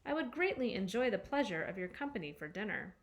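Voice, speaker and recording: A woman's voice: mid-range voice, very animated, fast. A woman doing a giggly, teasing boy.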